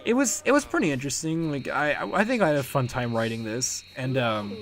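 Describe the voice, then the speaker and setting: A man giving casual, unscripted commentary as the creator of a fan project, rambling and halting as he talks. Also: medium pitch, lively intonation, fairly bright tone. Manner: rambling, halting, chuckling now and then.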